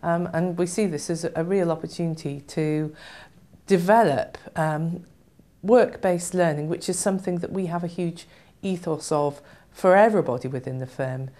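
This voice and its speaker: A middle-aged woman, low and mellow in voice. She answers for her law firm in a deliberate, professional interview manner.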